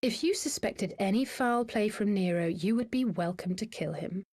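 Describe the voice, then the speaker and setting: A woman's voice: mid-range voice, normal speed, a little mellow, no echo, studio quality, close to an everyday voice. A woman playing a poised, politely persuasive diplomat in a game cutscene, with articulate, formal speech. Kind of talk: video game cutscene acting.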